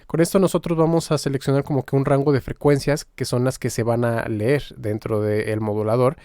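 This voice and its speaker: A man giving an informal, explanatory software tutorial, pausing now and then as he demonstrates. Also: medium pitch, fairly even intonation, no echo, clean studio sound. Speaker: music software tutorial instructor.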